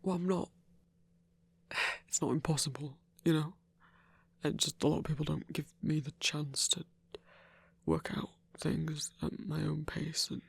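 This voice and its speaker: A man playing a shy, socially awkward male werewolf hunter in an intimate ASMR roleplay, speaking softly and earnestly with a halting stammer. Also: on the high side, vocal fry, breathy edge, dry recording, full character voice. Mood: guarded, earnest.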